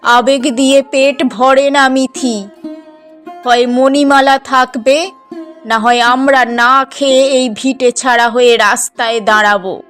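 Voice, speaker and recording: A hard-pressed village mother in a moral-story cartoon, played by a woman. The voice is high and slow, grave and emotional, over a music backing. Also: a bit bright. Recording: music bed behind the voice.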